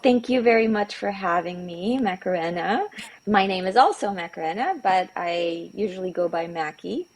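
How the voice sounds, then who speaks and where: Low gravelly-voiced woman guest. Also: moderate pace. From California. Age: middle-aged. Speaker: guest, behavior analyst. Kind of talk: casual interview, explaining.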